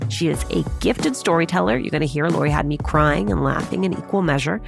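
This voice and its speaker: A woman speaking as a podcast host and interviewer, in a clearly phrased presenting style on a close microphone. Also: deep voice, brisk, over music. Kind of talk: scripted podcast narration and interviewing.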